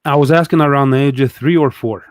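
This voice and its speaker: A man's voice: medium pitch, fast, no echo, studio quality. A young adult man from Egypt, a guest telling his story in casual conversation, thoughtful and hesitant with many fillers.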